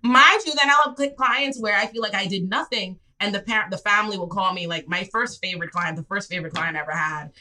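Animated Bahamian woman in her twenties. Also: quick pace, hardly any pauses. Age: 20s. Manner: lively, swings into high excited re-enactments. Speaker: public defender guest. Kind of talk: animated storytelling in casual chat.

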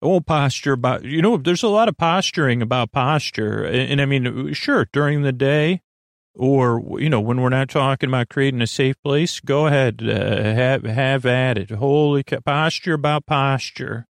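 A man hosting a bedtime podcast in a meandering, halting monologue, often interrupting himself and drifting off on tangents, with a creaky voice. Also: medium pitch, no room sound, studio quality. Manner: halting, digressive, self-interrupting. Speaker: podcast host.

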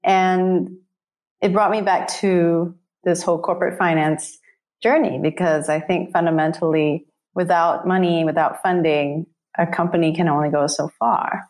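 A woman from Singapore answering as an interview guest, reflective and halting as she explains her career. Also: fairly low pitch, steady tone, a little mellow. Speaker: interview guest, coach and investor.

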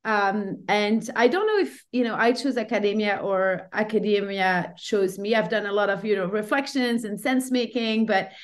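A woman's voice: mid-range voice, moderate pace, hardly any pauses. A woman from Belgium, speaking reflectively as a guest in a conversational interview and often searching for her words. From Brussels, Belgium. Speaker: professor, podcast guest.

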